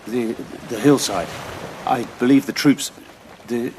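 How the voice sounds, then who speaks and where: An actor playing a male soldier posing as a villager in an audio drama, speaking in a flat, mechanical, obedient way. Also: mid-range voice, measured pace, slight background noise, natural voice.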